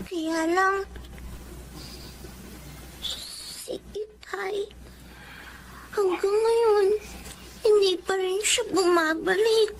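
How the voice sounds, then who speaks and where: A woman's voice: very high voice, a little hiss or hum, exaggerated voice, low-quality recording. A woman voicing a sad, polite little girl in a Filipino radio drama, tearful and childlike with a Filipino accent. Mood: wistful. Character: sad, polite sickly little girl missing her father.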